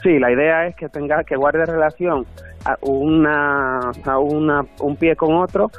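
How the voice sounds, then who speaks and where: A man's voice: a little high, fairly even intonation, measured pace. A man with a Dominican accent giving conversational answers as a guest in an interview. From Dominican Republic. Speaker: interview guest, artist and designer. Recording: thin phone-like band, faint music.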